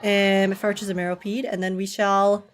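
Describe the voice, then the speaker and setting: A woman's voice: medium pitch, level tone, normal speed. A young woman livestreaming a game, chatting casually with viewers in a bubbly, giggly, chatty way. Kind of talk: casual livestream chat, reading and answering viewer comments. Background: game music.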